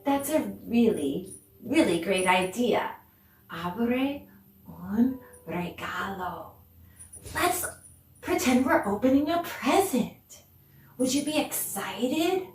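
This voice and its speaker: A woman with a fairly high voice teaches young children in an encouraging, animated manner. She goes very slowly, pausing between phrases and posing questions to her viewers. The sound is distant and echoey. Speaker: dance teacher. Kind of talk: children's online arts lesson, addressing viewers. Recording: echoey room, distant mic, faint noise.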